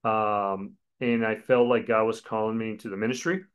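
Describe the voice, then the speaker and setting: Podcast guest, Colombian-born pastor in his 40s, low smooth voice, relaxed. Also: normal speed. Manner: relaxed storytelling with many fillers. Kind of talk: podcast interview.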